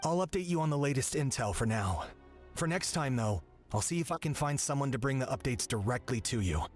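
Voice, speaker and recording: A man's voice: mid-range voice, lively intonation, fairly quick, faint music behind, natural voice. A man voicing a friendly but worried young messenger in a video game, in an earnest, conversational way.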